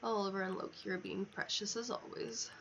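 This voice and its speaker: A woman's voice: mid-range voice, steady tone, brisk, fairly bright tone. A woman livestreamer chatting casually as she plays a video game, thinking aloud and muttering to herself. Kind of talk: casual chat while playing a video game. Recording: dull lo-fi mic, clear background noise.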